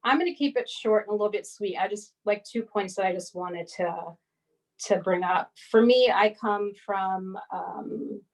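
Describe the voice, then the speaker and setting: A woman's voice: medium pitch, normal speed, rough recording. A woman from Barbados, giving an informal talk as an invited speaker, with fillers and restarts. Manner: conversational, with fillers and self-corrections. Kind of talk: personal talk at a live-streamed arts event.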